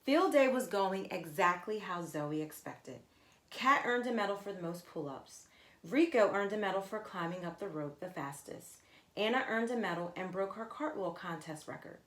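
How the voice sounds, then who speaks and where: Woman, expressive story reading, deliberate emphasis, asides to listeners, medium pitch, roomy echo. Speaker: teacher reading aloud. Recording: echoey, roomy sound.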